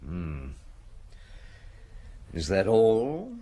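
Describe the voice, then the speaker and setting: An actor playing an unhurried, wry middle-aged police chief inspector in a radio drama, musing and understated. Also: male voice, deep voice, wildly swooping pitch, heavy background noise, heavily acted. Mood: probing.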